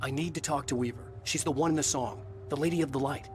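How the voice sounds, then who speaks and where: An actor playing a haunted, brooding writer who narrates his own dark thriller game story. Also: a little high, quick pace, natural voice. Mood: grim. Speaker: protagonist and narrator. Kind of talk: brooding scripted narration and drama. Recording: loud music behind voice.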